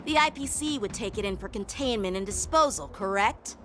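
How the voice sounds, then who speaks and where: A woman's voice: mid-range voice, expressive, fairly quick, sharp tone, faint music behind. An actress voicing a strict, formal woman port inspection officer. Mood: stern. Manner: formal and insistent. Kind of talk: game voice acting.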